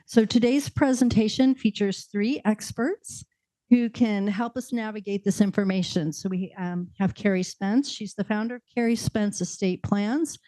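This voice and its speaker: A woman's voice: medium pitch, warm tone, no echo, low-quality recording. A woman hosting and moderating a panel, chatty and correcting herself along the way. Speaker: event host, moderator.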